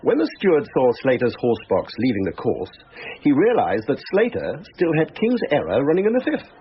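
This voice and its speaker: An actor playing a precise, knowing racing brigadier in a crime drama, measured and formal as he explains. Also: medium pitch, wildly swooping pitch. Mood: guarded. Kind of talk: British police drama acting. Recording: dull lo-fi audio with background hiss.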